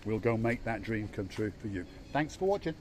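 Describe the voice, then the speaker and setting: A man's voice: on the low side, animated, a little fast. A man hosting a casual walking-tour vlog, chatty and playful, teasing his companion as he films outdoors. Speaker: vlog host. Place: outdoor holiday resort. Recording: faint outdoor background noise.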